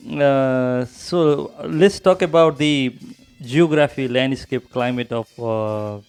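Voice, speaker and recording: A clear, smooth-voiced man with a Nepali accent. He hosts a casual podcast, putting questions hesitantly and repeating phrases, with light music underneath. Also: medium pitch, slow, a little mellow. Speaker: podcast host. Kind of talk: casual interviewing.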